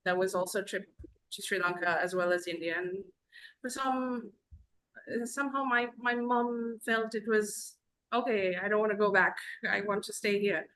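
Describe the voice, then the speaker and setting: A woman from Zambia, a podcast guest, telling her story in a rambling, conversational way with many pauses over a video call. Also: medium pitch, little pitch movement, fairly bright tone, poor audio.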